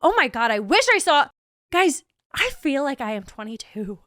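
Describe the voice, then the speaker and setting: Bubbly high-voiced young woman. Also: sing-song, moderate pace, fairly bright tone, dry recording, clean studio sound. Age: 20s. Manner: gushing, chatty, heavy use of "like". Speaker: podcast host. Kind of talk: casual solo podcast chat.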